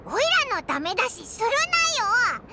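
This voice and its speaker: A woman voicing a small, excitable, childlike fairy companion in a Japanese anime game, animated and brash. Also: moderate pace, exaggerated voice. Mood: indignant. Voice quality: squeaky, childlike. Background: background music.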